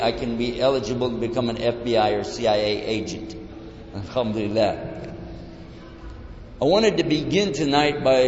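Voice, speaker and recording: A man's voice: mid-range voice, a little mellow, slight background noise. A man from Texas with a Texan accent, giving a joking, folksy talk to a live audience in a large hall. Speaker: lecturer. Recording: echoey hall, dull lo-fi sound.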